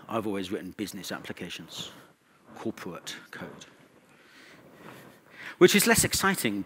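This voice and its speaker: A man's voice: mid-range voice, a bit bright, slightly rough. A man speaking as a conference presenter, informal and conversational, with wry asides. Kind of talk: conference talk.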